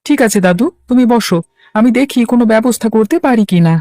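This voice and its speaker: A man's voice: extremely high pitch, a little fast, mellow, no echo, clean studio sound. A man voicing an earnest, polite young village lad in a cartoon, helpful and concerned. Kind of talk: Bangla cartoon voice acting.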